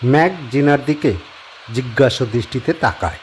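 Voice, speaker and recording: Measured male horror-story narrator. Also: mid-range voice, slight background noise.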